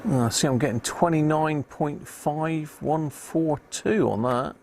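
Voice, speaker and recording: Gravelly British man thinking aloud. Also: fairly high pitch, slow, darkish tone, slight background noise. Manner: muttering to himself while working, thinking aloud. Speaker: livestream host, radio repair hobbyist. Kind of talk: casual live repair commentary.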